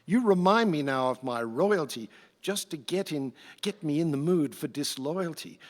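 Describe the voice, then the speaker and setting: A man's voice: fairly high pitch, expressive, normal speed, natural voice. A man playing a vain, scheming but easily shamed Roman emperor in a rhymed radio play, with a pompous, mannered delivery. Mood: smug, scheming. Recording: echoey hall with long reverb.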